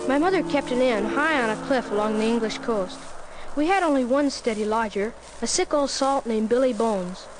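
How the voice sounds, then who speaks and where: Young male acting boyish cabin boy, high voice, earnest, eager, storybook narration, loud music behind. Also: moderate pace, low-quality recording. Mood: earnest narrating. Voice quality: youthful, boyish.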